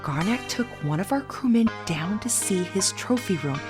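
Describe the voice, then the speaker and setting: A woman playing a wary captive guest in an audio drama, speaking in a hushed, urgent, cautious way. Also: medium pitch, expressive, normal speed, close to an everyday voice. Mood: hushed warning. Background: prominent music.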